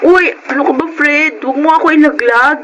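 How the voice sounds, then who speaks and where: A woman's voice: sits high, fairly quick, a bit bright. A Filipino woman playing an affectionate, bossy younger sister in a radio drama. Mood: playfully bossy. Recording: clear background noise.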